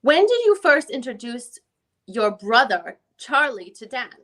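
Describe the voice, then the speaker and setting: A woman's voice: a little high, very animated, a little slow, fairly bright tone. A woman playing a female attorney, putting formal, methodical questions as she reads a deposition transcript aloud. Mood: probing, methodical. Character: probing female defense attorney questioning a witness. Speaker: reader in an online dramatic reading.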